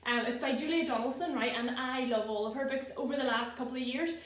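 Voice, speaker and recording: A woman chatting casually and gently to children as a storyteller. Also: high-pitched, quick pace, echoey room. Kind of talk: casual chat to children, reading a picture book aloud.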